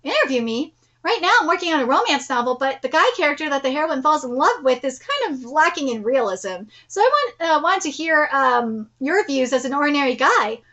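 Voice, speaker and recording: Woman doing a bright, smug girl schemer. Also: high voice, sing-song, brisk, dull audio, exaggerated voice. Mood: sly. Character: scheming, self-styled girl investigator, theatrical and smug.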